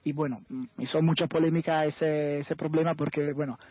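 A man's voice: on the high side, fairly even intonation, normal speed, dry recording. A man whose first language is Italian, analysing football on a radio show in Spanish, hesitating often and searching for words. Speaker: guest football commentator. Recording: dull, lo-fi radio sound.

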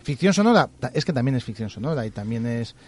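A man's voice: medium pitch, sing-song, fast. A man from chatting without a script as a radio presenter. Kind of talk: unscripted radio chat.

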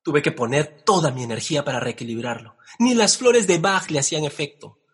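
A man's voice: fairly high pitch, expressive, a little fast, fairly bright tone, slightly rough. An actor playing an anxious, melodramatic man grieving his lost cat, in a radio comedy, whiny and theatrical. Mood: self-pitying.

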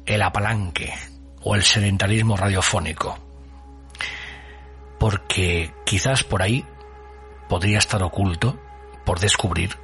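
A man presenting a podcast as host, narrating a scripted introduction with measured, colloquial phrasing and dramatic pauses. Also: low-pitched, creaky, a bit bright, soft background music.

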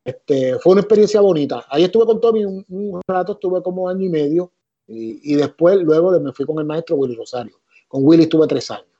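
Relaxed Puerto Rican man, dull sound. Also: a little high, brisk, husky. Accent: Puerto Rican Spanish. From Puerto Rico. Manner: relaxed, reflective, many fillers. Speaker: musician and arranger, guest. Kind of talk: interview answers, storytelling.

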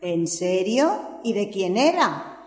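A woman as a slow-paced, wide-eyed schoolchild. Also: medium pitch, sing-song, darkish tone. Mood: amazed. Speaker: preschool teacher. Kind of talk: scripted children's audio story. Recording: echoey reverberant room.

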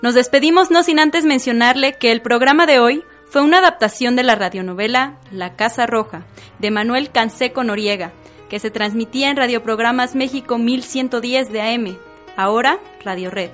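Radio host, woman, Mexican accent, highish voice, scripted announcing over light music. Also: normal speed, fairly bright tone.

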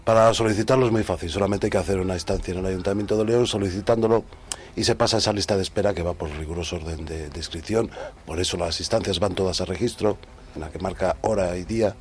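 A man, manager of a city gardens project, giving brisk matter-of-fact explanations on a radio show. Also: low voice, slight background noise. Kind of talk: radio interview guest.

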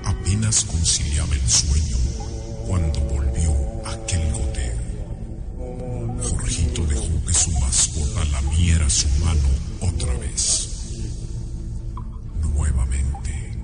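A man playing a grave, sinister storyteller with a Mexican accent, narrating a horror radio drama slowly and ominously. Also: rumbling low, expressive, heavily acted. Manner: slow, ominous, deliberate. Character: grave, sinister male teller of a horror tale. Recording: loud music under the voice. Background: loud music.

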